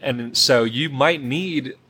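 A man's voice: mid-range voice, takes it slowly, pushed and bright, slight background noise. A man hosting a casual interview, chatty and informal, full of fillers. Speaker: podcast host in the wedding business.

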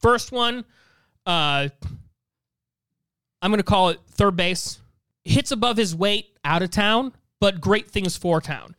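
A man with a high, crisp voice co-hosts a casual panel show. He thinks out loud in halting runs and circles back over his phrases. The sound is very dry, with hardly any room on it. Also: animated, no echo. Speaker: podcast panelist and co-host. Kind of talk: casual chat, making draft picks.

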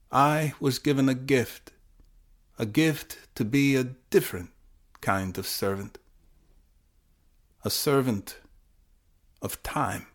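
A man performing a dramatic first-person story as a troubled, intense teenage boy with foresight, in a halting, deliberate manner with dramatic pauses. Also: medium pitch. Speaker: writer performing own short story. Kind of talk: dramatic first-person monologue, flash fiction podcast.

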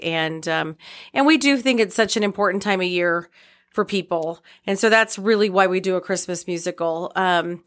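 A woman's voice: medium pitch, fairly bright tone. A middle-aged woman chatting casually as an interview guest. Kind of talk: casual chat.

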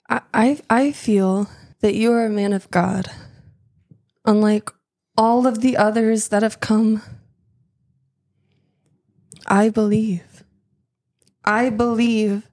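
A woman playing a frail, devoted Roman noblewoman with a tremulous, halting delivery in an audio drama. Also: on the high side, steady tone, a little mellow. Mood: earnest, hopeful.

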